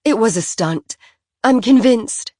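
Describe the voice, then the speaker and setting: A genteel, high-strung mother speaks in a raised, prim voice, as a woman narrator puts her on. She gushes and insists, pressing each point. The recording is dry and close. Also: expressive, slow pace, mellow, dry recording. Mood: prim, insistent.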